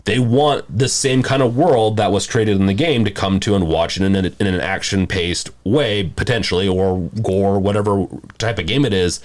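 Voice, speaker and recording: A man's voice: on the low side, moderate pace, hardly any pauses. A man co-hosting a casual podcast, thinking aloud in a loose, rambling way as he gives opinions. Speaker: podcast host.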